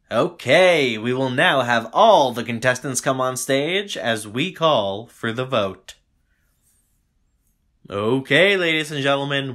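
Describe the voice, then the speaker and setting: A woman plays an upbeat, ceremonious emcee of a comedy contest in an audio drama. The pitch swings widely around a medium level. The announcements come slowly, drawn out with formal showbiz polish. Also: fairly bright tone. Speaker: master of ceremonies.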